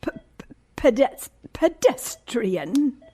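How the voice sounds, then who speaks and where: An actress playing a genteel, condescending old woman recovering from a stroke in an audio drama, with breathy, halting, deliberate speech. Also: fairly high pitch, sing-song, dark tone, a little hiss or hum, heavily acted. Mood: struggling to speak.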